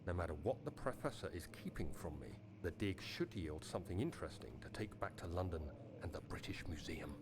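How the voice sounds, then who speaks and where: A solemn deep-voiced 1830s explorer. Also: male voice, lively intonation, background noise. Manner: measured, solemn diary reading. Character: male 1830s explorer narrating his expedition diary. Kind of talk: scripted game narration. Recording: game voice-over with loud music underneath.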